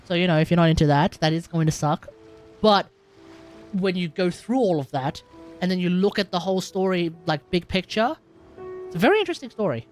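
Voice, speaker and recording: A woman's voice: on the low side, expressive, brisk, a little mellow, faint music behind. A woman streamer chatting casually as she wraps up a stream, rambling with lots of "like" and laughing. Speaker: game streamer.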